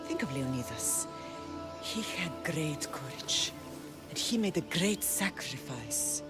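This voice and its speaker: A proud, solemn mother in a game cutscene, unhurried and deliberate as she speaks of heroic ancestors. A loud orchestral score swells behind her. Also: deep voice, sing-song, loud music behind the voice, full character voice. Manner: solemn, measured storytelling. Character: mother teaching her young daughter about their heroic bloodline.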